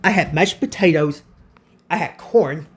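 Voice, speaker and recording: Halting young man answering viewer questions. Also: on the high side. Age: 20s. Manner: halting, repeats and restarts phrases often. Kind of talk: casual Q&A vlog.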